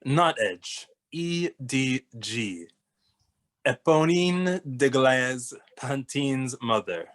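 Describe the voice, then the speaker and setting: A man voicing a level-headed, skeptical young detective in a cartoon comedy, with a dry, deadpan manner. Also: medium pitch, extremely slow. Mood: serious. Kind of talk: animated comedy table read.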